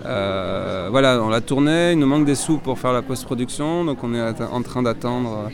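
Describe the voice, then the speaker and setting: A man's voice: medium pitch, normal speed, vocal fry. A French man, a filmmaker, answering interview questions outdoors, hesitant and full of fillers. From France. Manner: hesitant, many fillers and restarts. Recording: loud crowd behind. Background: crowd noise.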